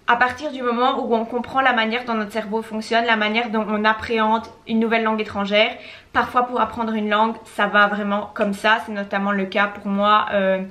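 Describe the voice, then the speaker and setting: A woman's voice: a little high, a bit bright. A young woman vlogging about language learning, talking casually with frequent restarts and slipping into English mid-sentence. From Belgium. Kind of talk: casual talking-head vlog.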